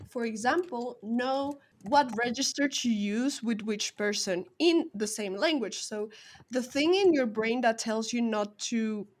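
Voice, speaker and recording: Higher-pitched woman. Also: a little mellow. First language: Spanish. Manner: thoughtful, explanatory, academic. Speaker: podcast co-host. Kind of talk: casual podcast chat.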